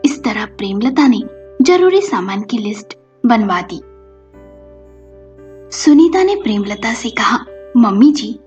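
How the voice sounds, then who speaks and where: A woman's voice: fairly high pitch, big pitch swings, slow, long pauses, darkish tone. A woman narrating a scripted story as a storyteller. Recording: dull lo-fi audio with music behind. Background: music.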